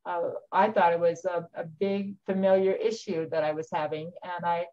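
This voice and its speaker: A woman's voice: lowish voice, little pitch movement, normal speed, mellow, dull audio. A woman in a casual group chat over a video call, chattily recounting her week.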